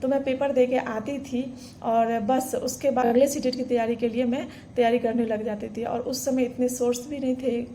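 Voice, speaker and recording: A woman's voice: on the high side, mellow, slight background noise. A woman, earnestly telling her own exam story to camera, full of fillers and restarts. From Bihar, India. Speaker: vlogger. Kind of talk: casual personal story to camera.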